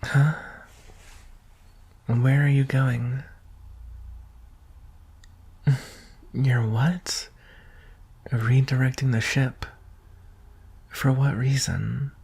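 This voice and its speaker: Guy doing a soft breathy alien prince for asmr, real slow n hesitant, gentle and vulnerable, kinda whispers. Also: mid-range voice, steady tone, full character voice. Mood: surprised, hopeful. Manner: hesitant, earnest, slow with long pauses. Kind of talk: ASMR roleplay, soft intimate acting.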